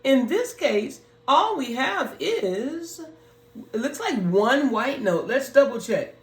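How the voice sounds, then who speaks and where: A person with a low, deep, raspy voice gives a casual, step-by-step piano lesson for beginners and talks through each step. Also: very animated. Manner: slow, talks through each step, sings scale notes on "ho". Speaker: piano learner teaching beginners. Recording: roomy echo with piano playing.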